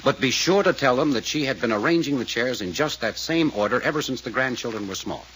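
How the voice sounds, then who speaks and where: A man's voice: medium pitch, expressive, fast, fairly bright tone, natural voice. A man acting a terse, authoritative, secretive detective in a 1940s-style radio serial, on an old noisy recording. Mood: urgent, instructing. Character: terse, commanding male detective laying a trap.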